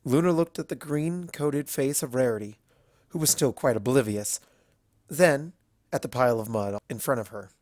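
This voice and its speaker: A man's voice: medium pitch, moderate pace, a little mellow, no echo. Man narrating a story, dry sound. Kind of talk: scripted fan fiction narration.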